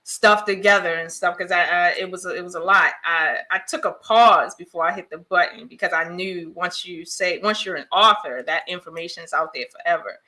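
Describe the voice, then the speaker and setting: Bright, laughing middle-aged woman storyteller. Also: lowish voice, a little fast, few pauses. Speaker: guest, children's book author. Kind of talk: casual podcast chat.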